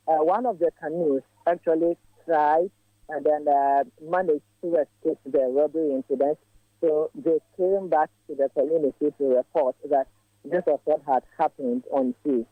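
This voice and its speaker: A man reports live from the field, his fairly high voice squeezed through a tinny, dry phone line. He speaks in a measured way, pausing often on fillers as he gives the details. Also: fairly even intonation, no room sound. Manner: measured, with frequent filler pauses.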